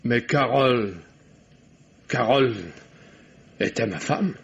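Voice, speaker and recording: An actor playing a gruff, weary small-town veterinarian with a husky, creaky voice, in 1950s French radio drama. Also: male voice, mid-range voice, drawn-out words, very noisy. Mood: stunned, grieving. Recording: dull, band-limited vintage radio recording.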